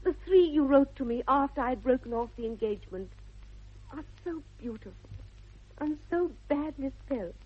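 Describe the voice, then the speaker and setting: A woman's voice: high voice, lively intonation, normal speed, slightly rough. An actress with a British accent playing a dreamy, headstrong young Victorian lady in a 1960s radio comedy, with precise, affected, earnest delivery. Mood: matter-of-fact, prim. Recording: dull vintage 1960s radio recording.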